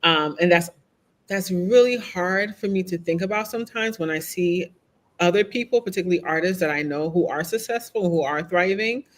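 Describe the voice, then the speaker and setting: A woman speaks as a guest in a relaxed interview. She is open and reflective, and she works through her thoughts as she goes. She keeps tagging her points with a soft "right?". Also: fairly low pitch, moderate pace. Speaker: podcast guest. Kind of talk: candid conversational interview.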